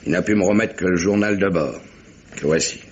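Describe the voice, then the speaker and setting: An actor playing a gruff, money-minded merchant sea captain in a 1950s-style French radio drama, plain-spoken and insistent. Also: male voice, low voice, fairly quick, close to an everyday voice. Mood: explanatory. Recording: dull, hissy old radio recording.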